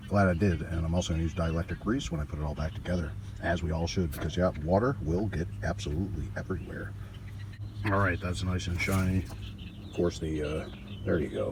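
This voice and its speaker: A man giving a casual hands-on repair walkthrough in a halting, folksy, offhand way, recorded outdoors on amateur gear. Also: a bass voice, noisy background. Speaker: host of a DIY radio repair channel. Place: outdoors beside a car.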